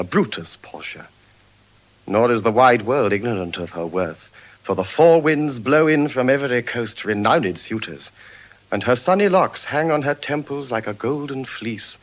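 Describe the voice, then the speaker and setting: An actor with a British accent playing an ardent, spendthrift young Venetian gentleman, in eloquent classical Shakespearean stage style. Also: mid-range voice, low-quality recording. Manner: eloquent, measured verse delivery with expressive swells.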